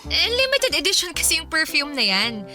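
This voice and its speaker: A woman's voice: high voice, wildly swooping pitch, normal speed, pushed and bright, soft background music, full character voice. An actress playing a young, breezy, generous girlfriend in a Filipino radio drama, with a playful, coaxing, casual way of talking. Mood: coaxing, pleased.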